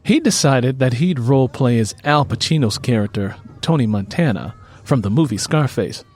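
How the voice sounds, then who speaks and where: A man's voice: medium pitch, expressive, moderate pace, darkish tone. A man reading scripted fiction as an audiobook narrator.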